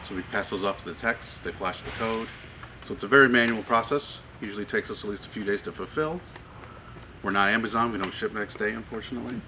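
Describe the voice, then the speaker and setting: Low-voiced American man guiding a warehouse tour. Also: quick pace. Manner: friendly, explanatory, asks the group questions. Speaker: parts manager giving a tour. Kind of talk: guided tour talk to a group. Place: large warehouse with tall shelving, indoors. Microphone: far camera held by a tour member. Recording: noisy warehouse from a handheld camera.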